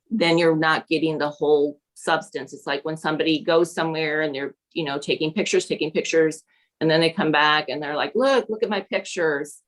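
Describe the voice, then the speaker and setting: Low-voiced woman, halting with many fillers. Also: rough recording. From Peru. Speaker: National Board certified teacher and mentor. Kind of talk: conversational podcast interview.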